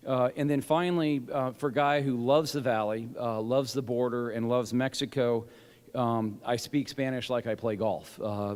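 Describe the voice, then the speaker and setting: A man gives a keynote to a conference crowd, speaking in a loose, self-deprecating way and stumbling through fillers and repeated words. His voice sits at an ordinary middle pitch. The sound carries a long, echoing reverb from the large hall. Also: darkish tone. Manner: folksy, self-deprecating, many fillers and restarts.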